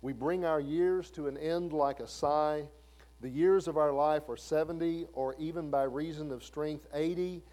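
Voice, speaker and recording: A man's voice: on the high side, steady tone, a little slow, a little mellow. A man in his fifties preaching a sermon in a conversational way, asking the listeners questions. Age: 50s. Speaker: pastor.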